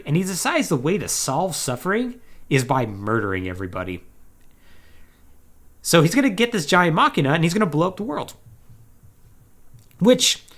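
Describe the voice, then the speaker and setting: A man hosting a podcast, casually retelling a video game plot in a slangy, animated, joking way. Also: on the high side. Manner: animated, slangy storytelling with mocking acted-out quotes. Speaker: podcast host.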